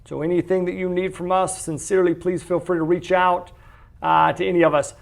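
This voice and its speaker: A man's voice: sits high, flat delivery, brisk, vocal fry. A man presenting a real estate investment walkthrough in a conversational, explanatory way, often checking in with the listener. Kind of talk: webinar-style investment pitch, informal scripted walkthrough.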